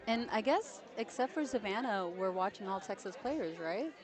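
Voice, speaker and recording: A woman hosting live pool coverage who chats warmly with her co-commentator and explains the rules of the match. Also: mid-range voice, lively intonation, normal speed, crowd noise. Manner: friendly, explains rules, laughs often.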